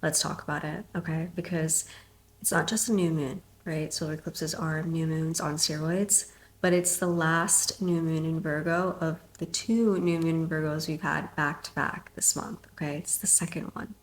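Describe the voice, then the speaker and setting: A woman's voice: sits low, fairly even intonation, normal speed, a little hiss or hum, poor audio. A woman with a stuffy, sick-sounding voice talking casually and emphatically to her online audience as a host, in an informal style. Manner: informal, emphatic, with frequent "like" and tag questions. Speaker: astrology YouTuber and podcast host. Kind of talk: casual direct-to-audience vlog talk. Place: apartment.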